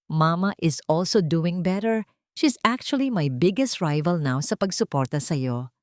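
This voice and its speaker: Woman voicing a gentle, devoted suitor, lower-pitched. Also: expressive, unhurried, no room sound, studio quality. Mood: sincere, affectionate. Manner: tender, earnest, mixing Tagalog and English. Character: gentle, devoted man wooing his estranged wife.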